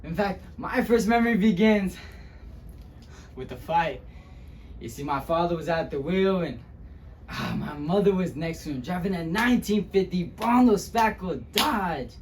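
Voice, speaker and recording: A man acting a wounded, slow-spoken young man. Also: high voice, expressive, a little hiss or hum, voice pushed up. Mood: wistful, earnest. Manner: dramatic, halting delivery with long pauses. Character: wounded young Mexican-American man recalling a poor, violent childhood. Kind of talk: dramatic interpretation monologue, speech-competition acting.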